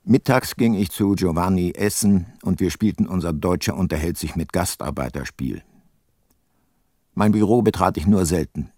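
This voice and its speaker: An actor playing a reflective, weary private detective in a radio drama, measured and laconic. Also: male voice, low voice, a little mellow, dry recording. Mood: reflective, wry. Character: weary, reflective male private detective narrating his case. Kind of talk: scripted narration in a radio drama.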